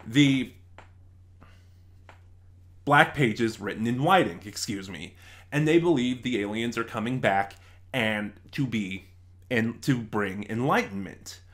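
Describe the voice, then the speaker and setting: Male reviewer, mid-pitched, conversational and unscripted, halting delivery with emphatic stresses and quick asides. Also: fairly bright tone. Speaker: reviewer, video host. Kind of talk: unscripted review commentary, explaining a plot.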